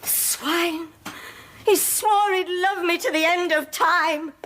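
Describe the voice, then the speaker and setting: A woman's voice: very high voice, steady tone, slow, a bit bright, heavily acted. An actress playing a flirty, theatrical middle-aged woman who talks in a self-pitying way. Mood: heartbroken.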